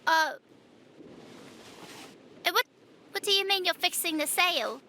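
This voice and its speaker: A woman voicing an earnest, nervous but stubborn young girl with a halting, flustered manner. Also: very high-pitched, bright, forceful tone, slight background noise, heavily acted. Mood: nervous, defensive. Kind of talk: audio drama acting.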